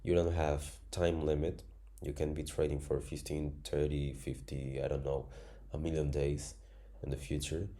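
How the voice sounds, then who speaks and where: A man's voice: rumbling low, level tone, normal speed, mellow. A man in his twenties, a native Spanish speaker from Cuba, earnestly explaining a trading system in plain, imperfect English. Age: 20s. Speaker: trading educator. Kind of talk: screen-share tutorial.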